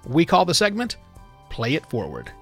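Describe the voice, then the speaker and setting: A male podcast host with a moderately high-pitched voice reads scripted show announcements directly to listeners. Faint music plays behind him. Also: huge pitch swings, moderate pace, fairly bright tone. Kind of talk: scripted podcast outro narration.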